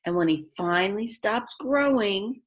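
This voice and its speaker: A woman with a very lively, medium-pitched voice reads to young children like a teacher. She moves between expressive storybook narration and simple, chatty explanations aimed straight at her listeners. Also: measured pace. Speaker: teacher reading aloud to children. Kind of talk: children's read-aloud with chatty asides.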